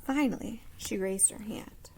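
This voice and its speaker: A woman reading a children's story aloud expressively, with dramatic pauses and hushed asides. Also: medium pitch, husky, whispered, poor audio. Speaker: storybook reader.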